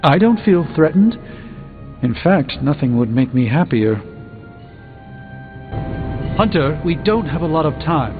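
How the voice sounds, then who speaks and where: An actor playing a learned, composed sorcerer in a video game, speaking in a formal, eloquent, dryly witty way. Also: male voice, fairly high pitch, big pitch swings, unhurried, long pauses, phone-quality sound, soft background music. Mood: calm, earnest. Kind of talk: scripted video game dialogue.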